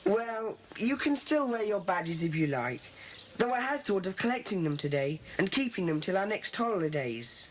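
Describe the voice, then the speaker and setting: A woman playing a bossy, impatient schoolboy leader of a secret club in a children's audio drama, speaking in a brisk, commanding way. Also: mid-range voice, big pitch swings, natural voice. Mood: firm. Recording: faintly noisy old cassette.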